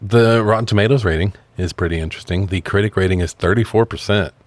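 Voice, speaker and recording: A man's voice: fairly low pitch, fast talker, no room sound. A man co-hosting a podcast, talking casually and profanely about films like an enthusiastic fan. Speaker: podcast co-host. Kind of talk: casual film review chat.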